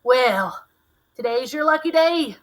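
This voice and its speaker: A woman's voice: high-pitched, expressive, slow. A woman voicing a menacing, abusive drunk father in a read-aloud novel, barking demands and taunts. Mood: angry, bullying.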